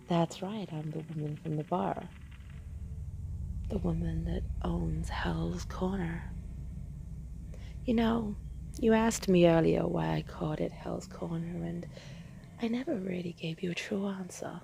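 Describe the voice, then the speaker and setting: A woman playing a seductive, sly demon who owns a bar, in a dark roleplay audio, teasing and savouring her words. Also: lowish voice, steady tone, slow pace, creaky, pauses often, full character voice. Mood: sly, gloating. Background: music.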